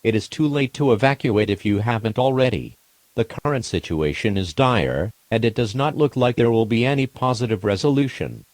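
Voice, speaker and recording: A man voicing a formal emergency alert announcer, reading scripted warnings in a measured, impersonal, official manner. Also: fairly low pitch, a little mellow, no echo, lightly acted. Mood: grave, resigned.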